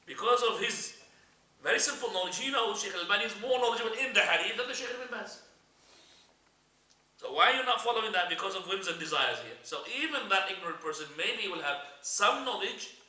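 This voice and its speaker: A man's voice: high voice, pushed and bright, recorded from a distance. A man with as his teaching an Islamic class in a mosque, speaking emphatic, repetitive, English. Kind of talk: classroom lecture. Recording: echoey room, voice from afar.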